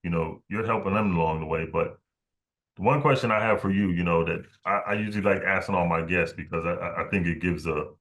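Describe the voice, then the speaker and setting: Low-voiced man hosting a casual interview. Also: a little fast, fairly bright tone. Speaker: podcast host.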